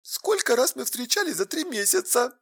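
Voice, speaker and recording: A woman's voice: sits high, moderate pace, pushed and bright. A woman playing a hurt young girlfriend in an audio drama, tearful, reproachful and emphatic. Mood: bitter, tearful.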